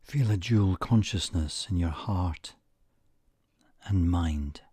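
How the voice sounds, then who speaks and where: Male meditation guide: deep and husky, soothing, measured short phrases with pauses, breathy near-whisper endings. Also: warm tone, no echo, studio quality.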